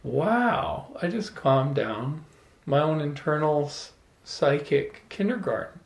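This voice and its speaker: A middle-aged man telling an animated, playful story to a small audience, holding a handheld microphone. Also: fairly high pitch, slow pace, gravelly. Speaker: salon speaker. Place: room with small live audience. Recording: echoey room.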